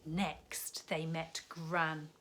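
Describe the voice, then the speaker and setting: A woman's voice: sits low, flat delivery, drawn-out words, a bit bright. A woman, a primary teacher reading a story expressively to young children, with chatty asides.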